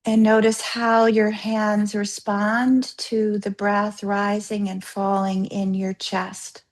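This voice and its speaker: Female yoga therapist for guided relaxation: mid-range voice, very slow delivery, soothing and gently instructive, leaving space between phrases. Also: mid-range voice, little pitch movement. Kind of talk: guided yoga nidra meditation.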